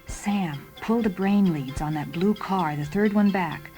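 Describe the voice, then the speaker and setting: A calm and devoted intelligent car with a low female voice acted by a woman. She speaks precisely and evenly, obedient and matter-of-fact, in a radio drama with music underneath. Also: measured pace, natural voice. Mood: calm, reporting.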